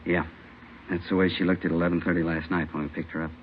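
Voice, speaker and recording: An actor playing a plain-spoken, grave police narcotics captain, brisk and businesslike, in a 1950s radio drama. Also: male voice, deep voice, little pitch movement, natural voice. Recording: muffled old radio recording, faint noise.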